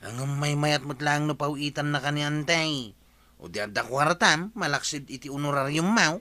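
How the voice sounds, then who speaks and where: A nagging younger Ilocano man in a radio comedy. His voice sits a bit high with a bright, sharp edge. He scolds and complains with whiny exasperation. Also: moderate pace, no room sound. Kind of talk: comedy radio drama acting.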